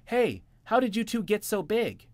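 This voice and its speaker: A man voicing a greedy, impulsive little boy bunny in a children's storybook, with high, childlike squeals. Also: sing-song, normal speed, a little mellow, no echo. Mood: startled. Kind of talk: storybook reading.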